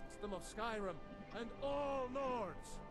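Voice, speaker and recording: A man's voice: extremely high pitch, takes it slowly, soft background music. An actor playing a proud, defiant male prisoner in a fantasy game, solemn and declamatory. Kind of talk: video game voice acting.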